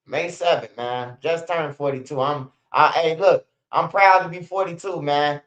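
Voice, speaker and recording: Man, 40s, livestream host, blunt and profane, a bit high-pitched, muffled lo-fi audio. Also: measured pace, a bit bright. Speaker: host of a street news channel. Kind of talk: casual livestream storytelling.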